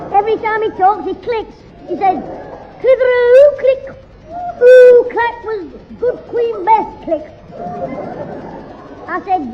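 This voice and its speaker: Very high-voiced cheeky Northern schoolboy. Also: male voice, very noisy. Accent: Northern English. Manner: indignant, wisecracking. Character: cheeky, grumbling schoolboy who hates his teachers. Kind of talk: 1960s radio sitcom acting. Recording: muffled 1960s radio broadcast.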